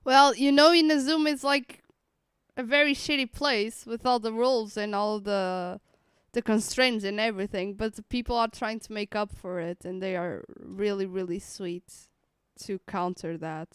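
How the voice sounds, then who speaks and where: A woman's voice: mid-range voice, steady tone, moderate pace, clean tone, dry recording. A woman giving casual live commentary on a game as a streamer, informal and affectionate in her reactions, close to the microphone.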